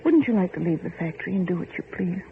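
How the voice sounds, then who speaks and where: Idealistic factory heir, very high pitch, pleading and commanding, theatrical old radio drama, faint hiss. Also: male voice, expressive, fast, vocal fry, phone-quality sound. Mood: earnest coaxing. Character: idealistic, insistent factory heir, urgent and earnest. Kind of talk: 1940s radio horror acting.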